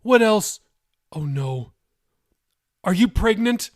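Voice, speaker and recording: A man voicing an anxious, excitable wife and mother in an audiobook. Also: sits high, takes it slowly, no echo, clean studio sound, voice pushed up. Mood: panicked. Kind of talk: audiobook character dialogue.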